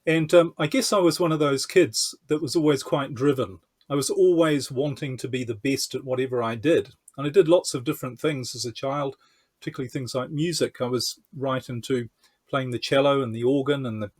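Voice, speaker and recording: A man's voice: mid-range voice, moderate pace, darkish tone. A man from New Zealand with a New Zealand accent, talking conversationally as a coach being interviewed on a podcast. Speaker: podcast guest, entrepreneur coach.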